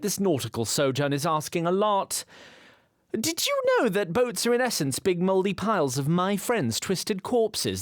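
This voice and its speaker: An actor playing a fussy wood sprite who narrates an audio fantasy drama in formal, wordy storybook style. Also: male voice, high-pitched, no room sound, studio quality. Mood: flustered, indignant. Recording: loud ship ambience behind.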